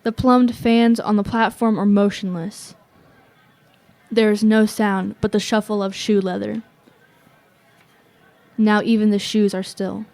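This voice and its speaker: A woman's voice: medium pitch, flat delivery, a little slow, pauses often, a little mellow, close to an everyday voice. A young woman playing a watchful radio reporter, giving tense eyewitness commentary in short statements for an audio drama. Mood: tense, observant. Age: young adult.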